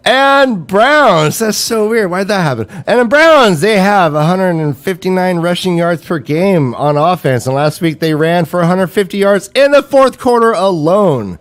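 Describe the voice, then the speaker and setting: Animated joking male show host. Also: high-pitched, a little slow, hardly any pauses. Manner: animated, joking, reads stats. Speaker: livestream host. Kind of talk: comedic fantasy football show.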